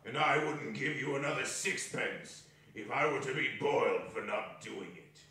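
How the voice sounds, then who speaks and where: A man playing a gruff, rascally pawnshop dealer of nearly seventy in a staged reading. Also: medium pitch, moderate pace, bright, forceful tone. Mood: gruff, haggling. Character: grizzled rascally old male pawnshop dealer near seventy. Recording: distant, very echoey hall.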